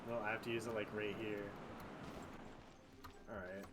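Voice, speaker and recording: A man with a fairly low voice gives casual commentary on a game as he streams it. He reasons aloud in a loose, profane way, with music clearly audible behind him. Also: flat delivery, normal speed. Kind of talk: casual live gameplay commentary.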